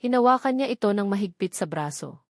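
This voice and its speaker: A woman's voice: mid-range voice, animated, brisk, dry recording. Filipino woman narrating a dramatic story, dry sound. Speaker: narrator of romance stories.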